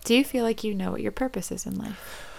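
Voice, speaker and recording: A woman's voice: medium pitch, quick pace, creaky, darkish tone. A woman from the United States hosting a casual interview, talking loosely with lots of "like". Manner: filler-heavy, uses "like" a lot. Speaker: host.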